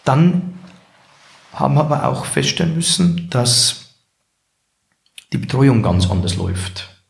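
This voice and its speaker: A man's voice: medium pitch, sing-song, takes it slowly, vocal fry. A middle-aged man with a Bavarian accent, a guest in an interview, earnest and reflective, telling anecdotes. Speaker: managing director of a care home, guest. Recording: echoey room.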